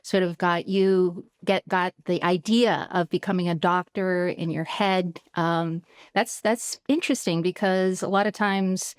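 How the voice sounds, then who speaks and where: A woman's voice: fairly low pitch, fairly even intonation, a little slow, few pauses, clean tone, dry recording. Middle-aged woman hosting, dry close sound. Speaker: podcast host. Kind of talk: conversational interview. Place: indoor room at home or office, video call.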